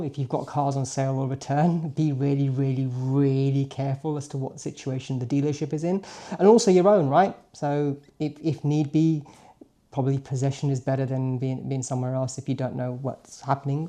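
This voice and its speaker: A man in his late forties whose first language is Punjabi talks to his viewers in a casual daily vlog. His voice is mellow and relaxed, sitting at a medium pitch. He is chatty and informal, often rounding off a point with a questioning tag. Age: late 40s. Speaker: car vlogger.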